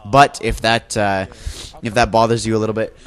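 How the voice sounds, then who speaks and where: A man's voice: lowish voice, flat delivery, normal speed, fairly bright tone. A young man with a flu, sounding nasal and congested, giving loose play-by-play commentary on a video game match with frequent fillers and self-corrections. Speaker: esports caster.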